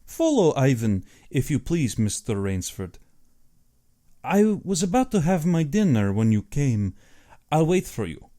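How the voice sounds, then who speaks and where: A man's voice: a little high, big pitch swings, mellow. A man voicing a suave, courtly aristocratic Russian general, an affable but sinister hunter, in an audiobook. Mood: courteous, commanding. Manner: courtly, measured, self-satisfied.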